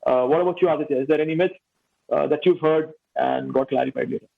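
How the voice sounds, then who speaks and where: A man hosting a panel discussion, upbeat, prompting each guest with quick praise. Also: on the high side, vocal fry. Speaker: host. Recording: dull lo-fi audio.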